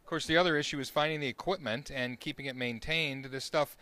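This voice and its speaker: An American man works as an interviewer for a web show. His pitch sits in the middle range for a man, and he puts questions conversationally on location. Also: fast, pushed and bright. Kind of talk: on-location interview with upbeat sign-on.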